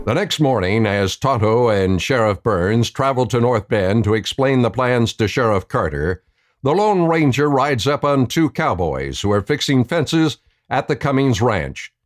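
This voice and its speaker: A man's voice: medium pitch, expressive, unhurried. A man narrating a scripted radio western.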